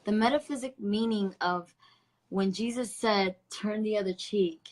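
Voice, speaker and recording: A woman's voice: medium pitch, a little slow, a little mellow. Effusive Spanish-accented woman host. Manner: effusive, affectionate, spiritual wording. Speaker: interview host. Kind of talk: casual online interview.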